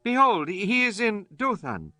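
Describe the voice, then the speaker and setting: Man acting an animated, high-voiced royal servant. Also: measured pace, heavily acted. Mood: urgent deference. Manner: formal, deferential. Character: earnest male servant reporting to his king. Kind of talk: dramatized scripture acting. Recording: music bed under the voice.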